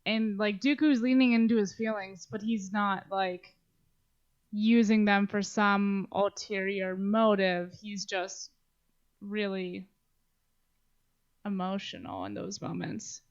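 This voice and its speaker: A woman's voice: mid-range voice, takes it slowly, clean tone. Casting: female podcast co-host, mid-range voice, loose conversational delivery, slightly muffled recording. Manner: informal, swearing. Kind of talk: casual discussion.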